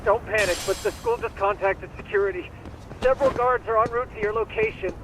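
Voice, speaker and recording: A geeky tech ally in a video game played by a man, calm and dryly polite as he coaches someone along. His voice sits on the high side for a man, with clear background noise around it. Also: brisk, natural voice. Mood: alarmed. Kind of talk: English video game dub acting.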